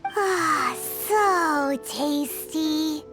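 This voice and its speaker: A woman voicing a small, childlike, cheerful and excitable fairy guide in a video game, with a squeaky voice and exaggerated exclamations. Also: extremely slow, music in the background. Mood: eager, delighted. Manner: refers to herself in the third person, exaggerated exclamations. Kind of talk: animated video game character acting.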